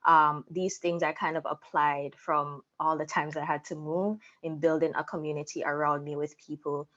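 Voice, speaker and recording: Low-pitched young woman, slightly muffled. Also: flat delivery, moderate pace, a bit bright. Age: young adult. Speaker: interview guest, content creator. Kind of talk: personal storytelling in an interview.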